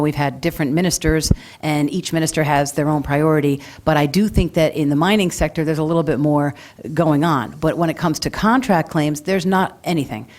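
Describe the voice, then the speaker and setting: Woman panelist w low voice, blunt informal answers to audience qs. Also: a little mellow. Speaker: panelist, mining sector expert.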